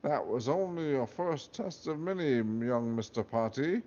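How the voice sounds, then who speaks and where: A man's voice: mid-range voice, animated, a little slow, a little mellow, close to an everyday voice. A man voicing a sleazy, lecherous old male wizard headmaster with a raised, leering voice. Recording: dull lo-fi recording.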